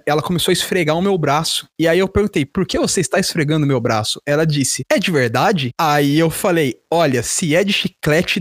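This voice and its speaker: Brazilian male podcaster, highish pitch, close dry sound, loose slangy storytelling with swearing. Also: dry recording. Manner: slangy, foul-mouthed. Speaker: podcast host. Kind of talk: casual chat, animated storytelling.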